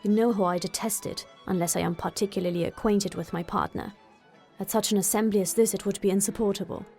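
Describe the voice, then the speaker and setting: A haughty young gentleman played by a woman reader in a classic storybook reading. The delivery is cold and clipped, full of aloof disdain. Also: medium pitch, steady tone, quick pace, soft background music, lightly acted. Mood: cold, disdainful. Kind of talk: audiobook character voice.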